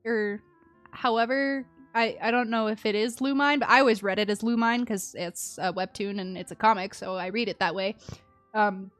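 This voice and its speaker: A woman's voice: mid-range voice, fairly even intonation, normal speed, fairly bright tone, no echo, faint music behind. Chatty woman streamer, close dry sound. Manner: chatty, reactive. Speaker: game streamer. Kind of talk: casual live playthrough commentary.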